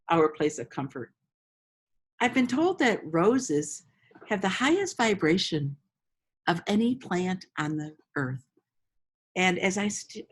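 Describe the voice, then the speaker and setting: A woman leading a guided meditation as host, speaking slowly and invitingly with long pauses, in a gentle storytelling style. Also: mid-range voice. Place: outdoors among roses.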